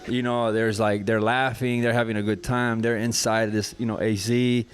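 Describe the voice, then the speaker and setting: A man's voice: fairly low pitch, level tone, moderate pace. A man, a podcast guest chatting casually and telling stories in a laid-back way. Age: 30s. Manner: storytelling, laid-back, says "you know what I'm saying" often.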